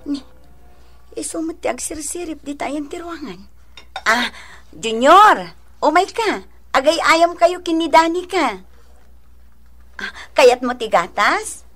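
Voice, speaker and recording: High-voiced, motherly provincial elder sister. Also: lively intonation, takes it slowly, long pauses, a bit bright, exaggerated voice. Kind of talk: Ilocano radio drama acting.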